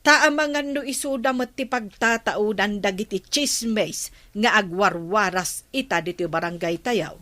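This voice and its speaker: A woman's voice: medium pitch, unhurried, a bit bright, lightly acted. A woman acting a nosy, exasperated village captain's wife in an Ilocano comedy drama, with an Ilocano accent and a scolding, questioning manner.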